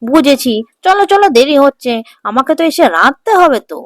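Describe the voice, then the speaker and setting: A woman's voice: sits high, big pitch swings, brisk. A woman voicing a doting, bossy older mother-in-law in a cartoon, fussing and emphatic. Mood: scolding. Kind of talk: cartoon voice acting.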